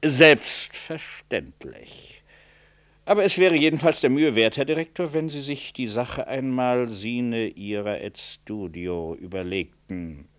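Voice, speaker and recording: A man's voice: medium pitch, expressive, slow pace, a bit bright. An oily, insinuating professor in a radio play. His intonation rises and falls widely as he winds through stilted, hedging academic phrases, always formally deferential. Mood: oily, pressing. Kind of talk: 1960s German radio drama acting.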